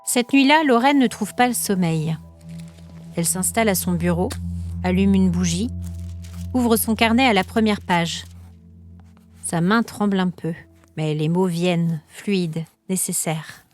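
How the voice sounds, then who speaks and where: A woman narrating a scripted supernatural story as a podcast host, in a measured, literary and suspenseful storytelling manner. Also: fairly low pitch, faint music behind.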